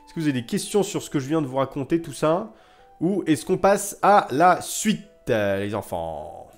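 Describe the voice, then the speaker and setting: A man's voice: a little high, sing-song, moderate pace, faint music behind. A man livestreaming history stories to his viewers in a casual, theatrical way, repeating phrases for suspense and emphasis. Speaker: live streamer telling history stories to chat. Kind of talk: casual animated storytelling to viewers.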